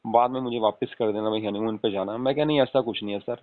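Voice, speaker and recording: A man's voice: on the low side, fairly even intonation, fast, lightly acted. A man playing an affectionate young husband on a casual late-night call, coaxing and often asking for agreement. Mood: explaining, reassuring. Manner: coaxing, repeatedly seeking agreement with tag questions. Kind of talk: acted casual phone conversation with spouse. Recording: tinny phone-call audio with room echo.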